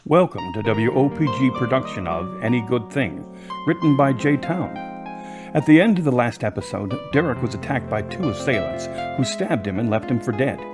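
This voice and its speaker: A man narrating an amateur audio drama in a plain, steady storytelling manner. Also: mid-range voice, moderate pace, music in the background.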